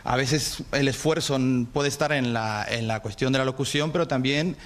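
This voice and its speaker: Mexican man, lecturer on a panel, measured and reflective delivery. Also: mid-range voice. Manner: thoughtful, measured, with restarts. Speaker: university lecturer. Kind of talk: panel discussion.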